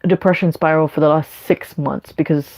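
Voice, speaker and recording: A woman's voice: low voice, fairly even intonation, a little fast, darkish tone, low-quality recording. A young woman live streaming, talking candidly and rambling with many fillers and restarts.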